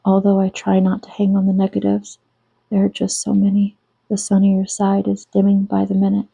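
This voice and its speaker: A woman reading her own novel aloud in a soft, hushed ASMR style, close to the mic. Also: mid-range voice, monotone, normal speed, vocal fry, husky, no echo, rough recording.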